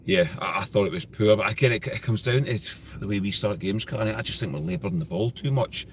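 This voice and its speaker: Deep scottish bloke co-hosting, laid back, lots of ums, faint hum. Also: male voice, fast talker. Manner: relaxed, plainspoken, with hesitant ums. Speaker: podcast co-host. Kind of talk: casual football chat.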